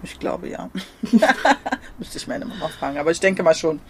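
A woman's voice: low-pitched, moderate pace, a little hiss or hum. A young woman from Germany, talking casually as an interview guest, hesitant with many fillers and frequent laughs. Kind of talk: casual conversation.

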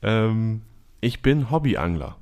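A young man hosting a podcast quiz, reading out clues in a calm, measured way. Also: on the low side. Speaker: quiz host.

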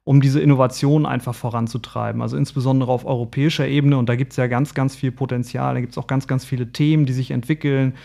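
A man's voice: mid-range voice, little pitch movement, fast, gravelly, few pauses, mellow. A man, speaking as an expert interview guest, hesitant and searching for words. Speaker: guest, payments consultant.

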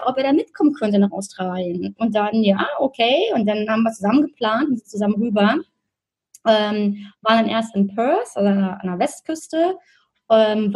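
Husky-voiced woman from Berlin. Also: medium pitch. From Berlin, Germany. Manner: chatty, laughs often, many fillers and restarts. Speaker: interview guest. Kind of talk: casual conversational storytelling. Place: in Australia.

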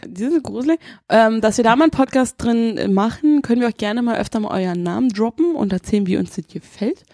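A young Berlin woman co-hosting a podcast, chatting in lively, slangy talk. Her voice sits a little high and she laughs easily. The sound is close and dry with no room echo. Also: fast talker. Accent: Berlin German. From Berlin, Germany. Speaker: podcast co-host. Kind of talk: casual chat.

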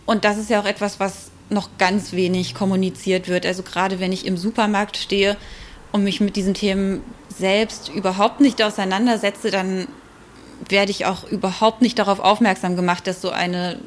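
A woman giving informal expert answers in an interview, speaking thoughtfully and with frequent hesitant pauses. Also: medium pitch, little pitch movement, a little hiss or hum.

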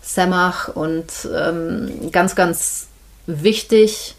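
A woman speaks with a fairly low voice in a casual conversation. She talks thoughtfully, often pausing and hesitating before she finds her words. She comes across as an easygoing interview guest. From Germany, Hamburg. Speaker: midwife, podcast guest.

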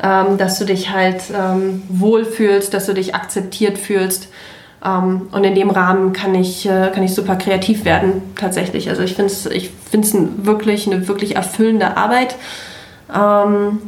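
A woman in her thirties from Berlin chats casually as a guest. She is frank and pokes fun at herself, in a voice of ordinary pitch. The sound is roomy, with plenty of echo. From Berlin, Germany. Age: 30s. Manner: chatty, frank, self-mocking. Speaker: party volunteer guest. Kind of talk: casual podcast chat. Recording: roomy echoey room.

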